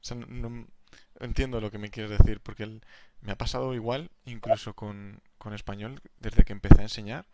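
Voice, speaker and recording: A young man co-hosting a casual podcast, enthusiastic and quick to laugh. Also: lowish voice, fairly even intonation, dark tone, a little hiss or hum. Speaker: podcast co-host, language teacher. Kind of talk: casual conversational chat.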